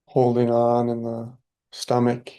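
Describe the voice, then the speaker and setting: A man leading a guided meditation in a calm, unhurried, soothing way, speaking softly and leaving long pauses. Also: medium pitch, clean tone.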